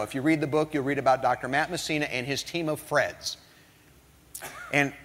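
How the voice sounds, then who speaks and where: A middle-aged man from the northern United States giving a humorous motivational talk, telling stories with jokes. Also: fairly high pitch. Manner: humorous, animated storytelling with jokes. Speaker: motivational speaker. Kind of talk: storytelling keynote talk. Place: large hall before an audience. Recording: hall reverb.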